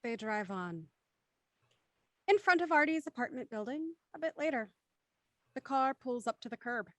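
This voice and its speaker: A woman reading scripted stage directions for an audio drama in a crisp, matter-of-fact way. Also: fairly high pitch, animated, moderate pace, a bit bright, dry recording. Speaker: narrator.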